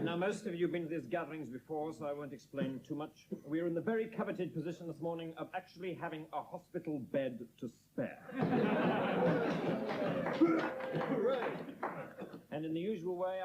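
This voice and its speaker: A man playing a brisk, impatient, officious auctioneer, cajoling with repetitive patter in sketch comedy. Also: a little high, close to an everyday voice. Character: brisk, impatient male auctioneer of hospital beds. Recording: dull, very noisy live recording, loud audience.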